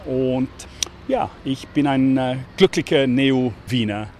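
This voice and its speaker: English man, halting German, street noise. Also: mid-range voice. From England. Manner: hesitant, lively, many fillers. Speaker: guest, walking tour guide. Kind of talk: casual chat while walking. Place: outdoors on city streets.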